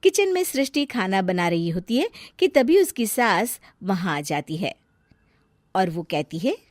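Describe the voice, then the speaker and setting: A woman's voice: medium pitch, sing-song, unhurried, dry recording, clean studio sound. A woman narrating a scripted family tale in storybook style.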